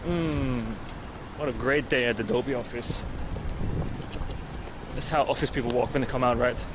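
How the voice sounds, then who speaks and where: Chatty streamer walking outdoors with noise behind. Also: male voice, medium pitch, steady tone, moderate pace. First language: not Swedish. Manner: chatty, slangy, talks to chat. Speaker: livestreamer. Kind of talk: casual live-stream chat while walking. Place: outdoors by the water, wind and rain.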